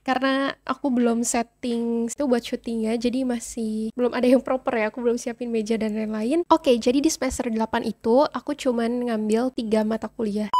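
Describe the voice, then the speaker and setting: A woman's voice: on the high side, darkish tone. A young woman vlogging casually to camera, a little nasal and congested from a cold, chatting and slipping in English phrases. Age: young adult. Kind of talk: casual vlog chat to camera.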